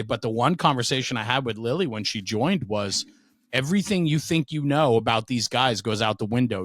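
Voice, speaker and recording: A man's voice: mid-range voice, fairly bright tone, dry recording, clean studio sound. A middle-aged man telling stories casually as an interview guest, frank, emphatic and opinionated. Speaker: podcast guest, veteran firefighter and speaker.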